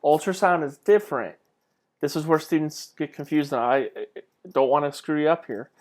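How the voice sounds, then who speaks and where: A man's voice: a little high, moderate pace, a little mellow. A man delivering an informal narrated slide lecture as a college instructor, conversational and emphatic on key points, often posing questions to his students. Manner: conversational, asks rhetorical questions.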